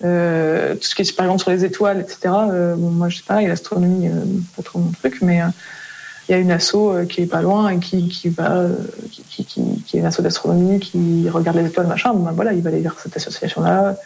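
A woman's voice: fairly low pitch, little pitch movement, fast, creaky, a little mellow, husky, rough recording. A French woman being interviewed as a parent, explaining casually in colloquial speech that often trails off into tag words.

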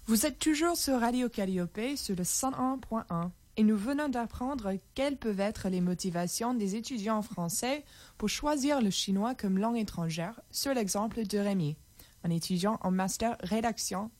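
Radio host lady, mid pitch, dry close studio sound, polite questions. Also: darkish tone, no room sound. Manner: reads announcements, asks questions politely. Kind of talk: radio interview hosting.

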